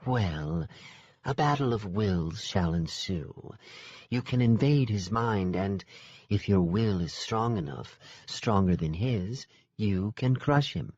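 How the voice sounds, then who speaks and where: A man's voice: fairly low pitch, animated, takes it slowly, darkish tone, no room sound, highs cut off. A man voicing a stately fae royal lady. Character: elegant royal fae sister, female, stately, cold.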